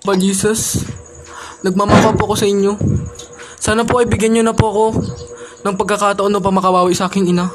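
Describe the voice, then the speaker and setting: High-voiced pleading young son acted by a man. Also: little pitch movement, quick pace, pauses often, music in the background, lightly acted. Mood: anguished pleading. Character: remorseful young son pleading over his dying mother. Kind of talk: amateur Filipino puppet show drama.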